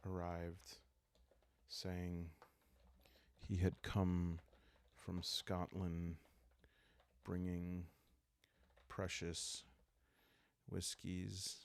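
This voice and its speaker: A man speaking softly and calmly in ASMR style, improvising a story aloud as he hosts a solo roleplaying game, thinking out loud with long pauses. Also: low-pitched. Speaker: host playing a solo journaling roleplaying game.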